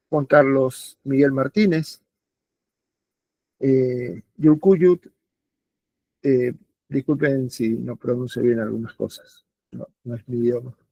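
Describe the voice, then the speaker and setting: A man's voice: medium pitch, a little mellow, dry recording, highs cut off. A man hosting an online talk series, introducing a guest with hesitant speech and many fillers.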